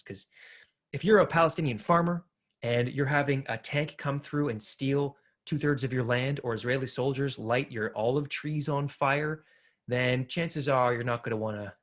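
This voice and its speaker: Guy on a panel talking policy, earnest, kinda halting with lots of uhs, average pitch. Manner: earnest, argumentative, frequent filler "uh". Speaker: panel speaker. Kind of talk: panel discussion answering questions.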